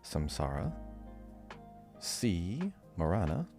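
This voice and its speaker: A man hosting a casual quiz podcast, reading out questions deliberately and repeating them. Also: low voice, wildly swooping pitch, over music.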